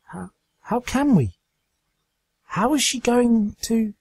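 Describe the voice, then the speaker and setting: A man voicing a brash female pegasus pony, distressed and desperate, with a high, strained voice. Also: expressive, slow, a little mellow, husky, no echo, exaggerated voice. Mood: shocked.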